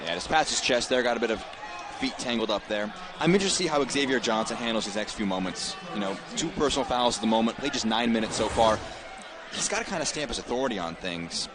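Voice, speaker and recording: A man's voice: mid-range voice, lively intonation, fast. A man giving live basketball analysis as a colour commentator, in a conversational, analytical way. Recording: loud arena crowd noise behind. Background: crowd and basketball bounce.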